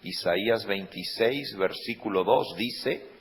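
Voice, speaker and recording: Slow-preaching man in an echoing hall. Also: on the low side. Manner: rising to loud emphatic peaks, asking the congregation questions. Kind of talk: sermon. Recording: reverberant hall.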